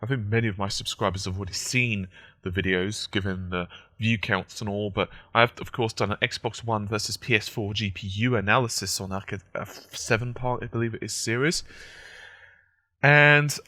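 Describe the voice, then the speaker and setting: A man with a croaky, just-woken-up voice, giving casual, analytical gaming news commentary. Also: fairly low pitch, steady tone, normal speed, sharp tone, no echo. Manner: conversational, analytical.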